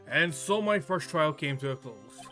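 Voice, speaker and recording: A man voicing an earnest, inwardly wry young male lawyer from a visual novel, a little halting. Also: a little high, animated, pushed and bright, soft background music. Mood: reflective. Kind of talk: visual novel read-aloud.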